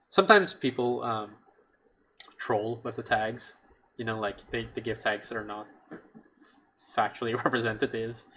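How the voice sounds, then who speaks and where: A man's voice: medium pitch, a bit bright. A man streaming a game, thinking aloud in a chatty way with many restarts. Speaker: gameplay streamer. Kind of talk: casual let's play commentary. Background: game music.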